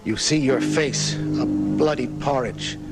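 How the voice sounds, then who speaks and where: A man's voice: mid-range voice, takes it slowly, over loud music, natural voice. An actor playing an aging famous writer in a live radio drama, telling his story in a florid, dramatic way. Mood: dramatic, vivid. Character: aging famous male writer recounting his own murder, theatrical. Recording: noisy 1980s off-air radio recording.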